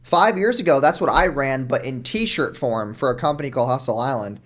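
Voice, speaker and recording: A young man from New York, a guest on a podcast, talking fast and casually, throwing in "dude" and "like" often. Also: medium pitch, animated, fairly bright tone. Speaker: guest, marketer.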